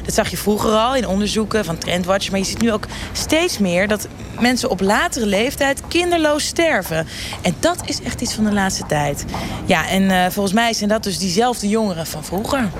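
A woman's voice: mid-range voice, expressive, normal speed, natural voice. A woman playing a self-absorbed, shallow trendwatcher who chatters in trendy slang and trails off, in a satirical radio street interview. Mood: breezy, self-assured. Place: outdoors on a shopping street. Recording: outdoor street noise.

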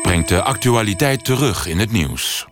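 A man's voice: on the low side, lively intonation, moderate pace. A man announcing programme idents for a radio news magazine in a scripted jingle style. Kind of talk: slow radio station ident over music. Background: faint music, telephone tones.

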